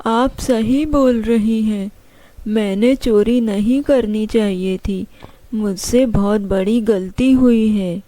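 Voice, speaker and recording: Woman voicing a slow-talking cartoon boy thief. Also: medium pitch, fairly even intonation, mellow, close to an everyday voice. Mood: remorseful. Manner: slow, exclamatory, talking to himself. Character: sly, whining young boy who steals a magic flute. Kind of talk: Hindi children's cartoon dialogue. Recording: music under the voice.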